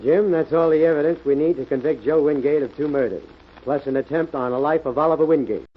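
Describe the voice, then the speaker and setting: A man's voice: a little high, fast talker, lightly acted. Man acting a police inspector, muffled old radio. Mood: matter-of-fact. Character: matter-of-fact male police inspector. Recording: muffled vintage radio recording.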